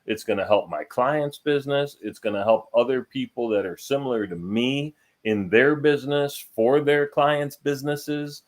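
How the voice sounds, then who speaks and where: A man's voice: medium pitch, moderate pace, few pauses. A man co-hosting a podcast, joking and self-deprecating in casual chat. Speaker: podcast co-host.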